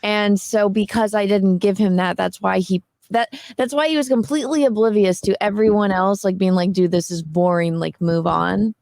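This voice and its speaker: A woman's voice: mid-range voice, steady tone, normal speed, gravelly, few pauses, a little mellow, dry recording. A woman in her twenties, a guest on a casual panel chat, telling a story and quoting others as she goes, often laughing. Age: 20s.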